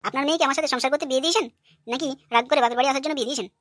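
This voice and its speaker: A brash, hot-tempered young son-in-law in a cartoon comedy, played by a woman, rants at breakneck speed. The voice is fairly high and very dry, full of grievance. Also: very fast, no echo, natural voice. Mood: angry, ranting. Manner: brash, ranting.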